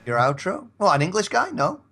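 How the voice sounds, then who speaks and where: A man's voice: high voice, huge pitch swings, fairly quick, gravelly, a bit bright. Man in casual banter. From Scotland. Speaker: podcast guest, audio drama actor. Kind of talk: casual chat.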